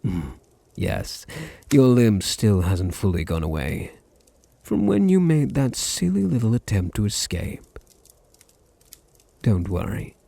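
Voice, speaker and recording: Deep, husky British vampire master acted by a man. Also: warm tone, no echo, full character voice. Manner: slow, teasing, condescending, drops into breathy murmurs. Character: possessive, dominant male vampire master, silky and menacing. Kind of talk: intimate ASMR audio roleplay.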